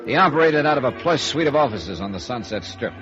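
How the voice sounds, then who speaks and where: An actor playing a hard-boiled, dry, probing American insurance investigator in 1950s radio noir, clipped and wry. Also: male voice, mid-range voice, animated, quick pace, lightly acted. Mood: wry, matter-of-fact. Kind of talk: 1950s radio noir acting with first-person narration. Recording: dull vintage radio recording with music.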